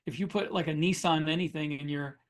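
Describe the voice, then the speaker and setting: A man's voice: a little high, brisk. A man co-hosting a podcast as a writer, bantering casually with a wry, jokey way of talking. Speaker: podcast co-host and science fiction writer.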